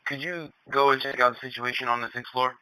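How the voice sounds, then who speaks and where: A man's voice: mid-range voice, fairly even intonation, fast. A man on emergency two-way radio, giving short businesslike messages as an operator. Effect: two-way radio transmission. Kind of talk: scanner radio traffic. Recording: very noisy, clicking radio channel.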